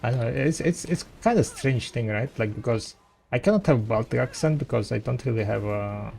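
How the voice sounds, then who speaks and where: A man whose first language is Russian, with a light Russian accent, chatting with viewers as a game streamer and trailing off in halting restarts. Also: mid-range voice, vocal fry, a little mellow, slight background noise. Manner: rambling, halting, many restarts. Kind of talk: casual chat with viewers.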